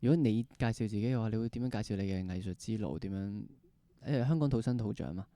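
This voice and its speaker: A man's voice: on the low side, quick pace, mellow, no echo. A man with a Hong Kong accent hosting a conversational interview podcast. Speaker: podcast host.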